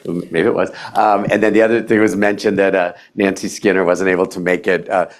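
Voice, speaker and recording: A man on a panel, hoarse from losing his voice, opening with joking, self-deprecating asides. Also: low-pitched, flat delivery, fairly quick. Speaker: former legislator and panelist. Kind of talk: panel talk.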